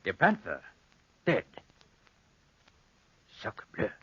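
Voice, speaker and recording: A man's voice: lowish voice, wildly swooping pitch, very slow, pushed and bright, husky, dry recording, full character voice. A man playing a shrewd, commanding army lieutenant with a clipped, authoritative manner in a 1940s radio drama. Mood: grave, commanding. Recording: muffled 1940s radio recording.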